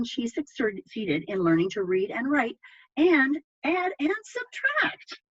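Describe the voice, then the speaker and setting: A woman library presenter telling a book's story to children in a dramatic, emphatic way with pauses, on a dull lo-fi recording. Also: medium pitch, breathy edge. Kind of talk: book talk and storytelling for children. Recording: dull, lo-fi sound.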